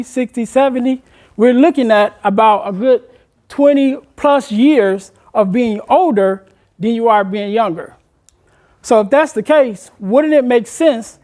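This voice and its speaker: A man, a motivational speaker addressing a room of high school students, asking them questions and urging them to take part. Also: a little mellow. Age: 40s. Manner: engaging the audience with questions, conversational and encouraging.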